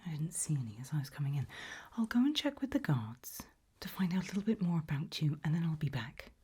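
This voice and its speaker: A woman playing a friendly, forgetful research scientist in an ASMR roleplay, speaking in a hesitant, polite, breathy whisper. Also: deep voice, sing-song, fast, heavily acted. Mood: reassuring. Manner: hesitant, polite, soft-spoken.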